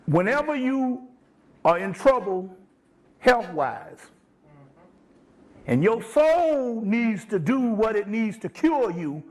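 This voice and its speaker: A man with a high-pitched voice speaks as an informal lecturer on spiritual matters. He is emphatic and conversational, talking in plain, salty language and regularly checking that listeners are following him. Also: big pitch swings, slow pace, pauses often.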